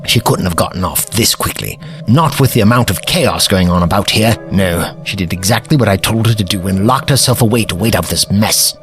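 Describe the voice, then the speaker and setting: An actor playing an eccentric, self-assured older time traveller in an audio drama, with pompous, precise, formal diction. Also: male voice, fairly low pitch, fairly quick, a bit bright, faint music behind, natural voice. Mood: wry, self-assured.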